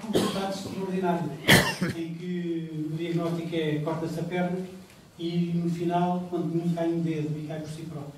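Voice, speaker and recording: A man's voice: on the high side, warm tone. A man giving a public talk, hesitant and repeating words before stating points firmly. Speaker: lecturer. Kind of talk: public talk with audience questions. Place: lecture room. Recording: echoey room from far off, noisy.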